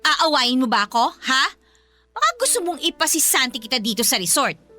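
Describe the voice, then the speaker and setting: A jealous, haughty young woman in a radio drama. Her voice is high and piercing, with animated swings of pitch as she taunts and belittles. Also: brisk, soft background music. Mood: sneering, gloating. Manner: sneering, taunting. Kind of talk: Tagalog radio drama acting.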